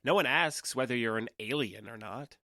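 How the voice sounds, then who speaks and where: A man's voice: mid-range voice, normal speed, vocal fry, sharp tone, dry recording, clean studio sound. A man playing a young alien trader who passes as human in an audio drama, talking in a conversational, earnest and slightly hesitant way. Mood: casual, breezy. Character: awkward, candid young male alien trader passing as human.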